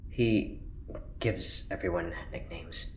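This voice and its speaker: A woman's voice: extremely low pitch, slow pace, gravelly, bright, forceful tone, slight background noise, full character voice. A woman playing an earnest, literal-minded scientist on a spaceship in an audio drama, terse, stiff and defensive. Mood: defensive, strained. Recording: roomy, echoey sound, music underneath. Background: music.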